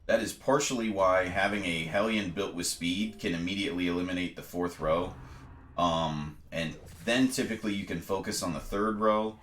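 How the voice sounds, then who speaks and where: A man's voice: low-pitched, moderate pace, fairly bright tone, echoey room, slight background noise. A congested man methodically explaining a video game as he plays, talking through each choice with frequent ums. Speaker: let's play host. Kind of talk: casual gameplay commentary and tutorial.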